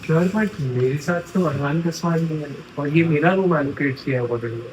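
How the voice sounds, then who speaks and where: A man's voice: fairly high pitch, rapid-fire, a little mellow, a little hiss or hum, natural voice, low-quality recording. A young man playing a timid first-year student. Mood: pleading. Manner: deferential, pleading.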